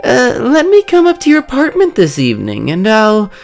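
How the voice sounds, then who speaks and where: A woman's voice: fairly high pitch, huge pitch swings, normal speed, vocal fry, darkish tone, heavily acted. A woman voicing a flirtatious, coaxing female colleague in a comic-book dub. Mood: flirty. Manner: coaxing, teasing. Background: music.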